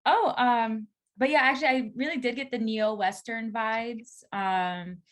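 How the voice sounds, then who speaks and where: A woman's voice: on the high side, steady tone, measured pace. A woman speaking casually on a video call, thinking aloud as she gives feedback and often saying "like". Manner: thinking aloud, heavy use of "like". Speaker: table read participant giving feedback. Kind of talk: casual spoken feedback on a script.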